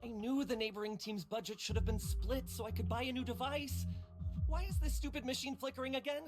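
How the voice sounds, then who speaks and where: A man's voice: very high-pitched, steady tone, fairly quick, music in the background. A man playing an arrogant male researcher in a video game, haughty and whiny, prone to stammering when rattled. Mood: haughty, irritated. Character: arrogant, easily flustered male lab researcher.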